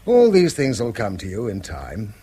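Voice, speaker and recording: Radio drama casting: middle-aged English father, stern, formal, moralising, husky, with lively intonation. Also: on the low side, normal speed, exaggerated voice. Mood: awkward, earnest. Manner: moralising, formal, easily exasperated. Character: earnest, severe, exasperated middle-aged father and schoolteacher. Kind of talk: British radio drama acting.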